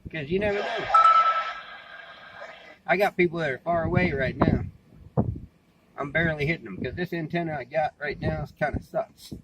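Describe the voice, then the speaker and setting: Male American vlogger, slightly high-pitched, folksy and plain-spoken, rambling casually with repeated phrases over clearly audible noise. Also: moderate pace, pauses often. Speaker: vlogger and CB radio hobbyist. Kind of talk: casual rambling talk to camera. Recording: clearly noisy background, amateur recording.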